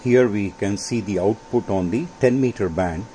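An older man with a low-pitched voice talks through technical matters in an informal, matter-of-fact way. His delivery is measured and explanatory. The recording is made indoors in a home workshop, with faint noise behind him. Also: dark tone. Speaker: amateur radio hobbyist presenting.

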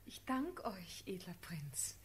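An actress playing a courteous young noblewoman in a classical radio drama, speaking softly and politely. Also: on the low side, very animated, takes it slowly, in a whisper. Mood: shy.

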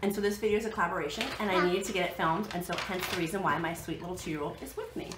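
Low-pitched American woman in an echoey room. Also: steady tone, racing. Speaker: vlog host giving budget diet tips. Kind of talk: casual vlog chat to camera. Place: living room at home, indoors. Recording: echoey living room with background noise.

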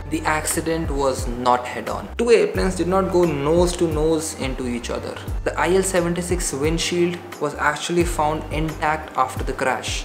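A young man with an Indian English accent, earnestly explaining an aviation story to camera as a pilot. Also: a little high. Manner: earnest, gestures a lot while explaining. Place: indoor room with shelves. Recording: roomy echo, faint music behind.

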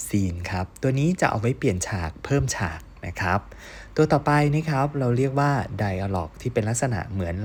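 A man teaching an online course as a step-by-step software tutorial, talking to learners in an encouraging, question-and-answer way. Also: on the low side, slightly rough. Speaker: online animation course instructor.

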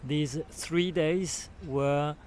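A man's voice: fairly high pitch, fairly even intonation, extremely slow, darkish tone, a little hiss or hum. An older man from Switzerland with a French accent gives a spoken testimonial outdoors at a beach camp, speaking into a handheld recorder and often searching for words and restarting. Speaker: retreat participant giving a testimonial. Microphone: handheld recorder held near the mouth.

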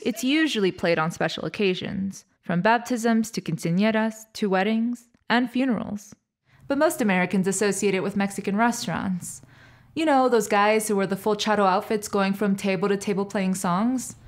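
A woman's voice: mid-range voice, normal speed, soft background music. A young adult woman delivering scripted storytelling narration for a documentary.

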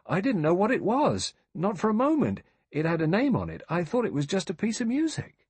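Man acting a flustered, husky, deferential male butler. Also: medium pitch, sing-song, a little mellow, dry recording, voice pushed up. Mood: desperate protest. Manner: earnest, defensive, servile, halting. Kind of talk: audiobook character voice.

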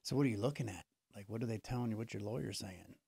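Man, 40s, podcast host, easygoing, swears a lot, gravelly voice. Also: fairly low pitch, quick pace, warm tone, no echo, studio quality. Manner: laid-back, profane, supportive. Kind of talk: casual interview. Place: podcast studio, indoors. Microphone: studio mic on boom arm.

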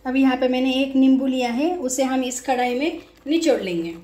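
Female home cook, high voice, simple step-by-step recipe narration, echoey kitchen. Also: normal speed, mellow, a little hiss or hum. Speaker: home cook, cooking show host. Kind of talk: casual recipe demonstration. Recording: echoey room.

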